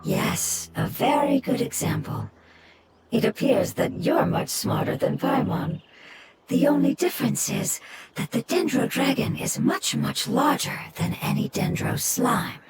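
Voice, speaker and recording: An actress voicing a small, humble forest creature in a game, speaking gently and politely. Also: female voice, high voice, very animated, measured pace, very noisy, full character voice. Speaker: game character.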